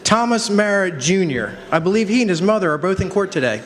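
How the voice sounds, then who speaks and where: A man acts an idealistic defense lawyer in a radio play, earnest and polite. The pitch is high and moves freely, in a reverberant hall with faint noise behind. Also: animated, fairly quick, a crowd in the background. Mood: probing. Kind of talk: old-fashioned radio drama acting. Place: live performance on a college campus. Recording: noisy reverberant hall stage recording.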